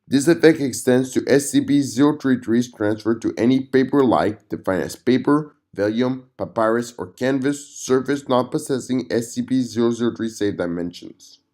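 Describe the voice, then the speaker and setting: A man's voice: mid-range voice, normal speed, a little mellow. A man giving a scripted narration of a formal document in a clinical manner.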